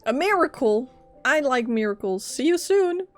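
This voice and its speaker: A woman's voice: high voice, animated, moderate pace, soft background music. A woman streaming a game, reacting casually in a playful, candid, chatty way. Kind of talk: casual gameplay reaction and reading along.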